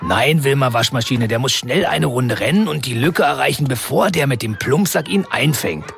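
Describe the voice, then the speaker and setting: An actor playing a jokey, patient kindergarten head in a children's radio comedy, explaining things with theatrical flair. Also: male voice, medium pitch, animated, normal speed, vocal fry, fairly bright tone.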